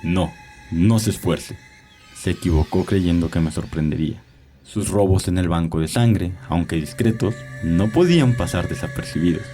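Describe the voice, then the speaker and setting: An actor playing an elderly, cunning vampire who poses as a doctor, speaking with measured courtesy and cold menace in an audio drama. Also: male voice, sits low, warm tone. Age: older. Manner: courteous, measured, wise-sounding, coldly menacing. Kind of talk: dramatized audio story acting. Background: prominent music.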